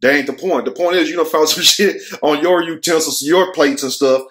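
An American man talks to his viewers in a somewhat high-set voice. He is blunt and opinionated, with casual slang, swearing and joking asides throughout his commentary. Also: fairly quick, a bit bright. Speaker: online commentary show host. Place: furnished room at home.

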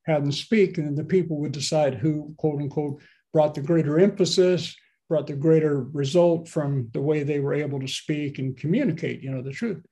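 A pastor in his seventies talks through his sermon from a small home office, speaking into a webcam on a video call. His voice sits fairly high but sounds mellow and warm. He rambles casually and often turns to his listeners with questions. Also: moderate pace. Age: 70s. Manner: conversational, rambling preaching with asides and questions to listeners. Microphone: laptop webcam on a video call.

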